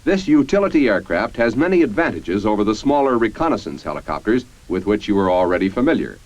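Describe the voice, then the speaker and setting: American guy narrating an old 50s army training film, formal and measured, lots of pitch movement, muffled old film sound. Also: very animated. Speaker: narrator of military instructional film. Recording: dull, band-limited 1950s film soundtrack.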